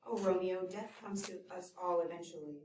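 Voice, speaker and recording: A woman's voice: lowish voice, brisk, a little mellow, a little hiss or hum, lightly acted. A woman playing a fussy, fretting nurse. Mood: fretful. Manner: fretful, exclaiming. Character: fussy, devoted older nurse. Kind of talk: scripted audio drama. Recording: echoey, distant from the mic.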